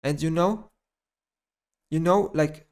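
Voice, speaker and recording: A man with a German accent, a native German speaker, giving an unscripted personal monologue to his channel, rambling and halting, often repeating phrases and restarting sentences. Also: fairly high pitch, a little mellow. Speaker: gaming YouTuber.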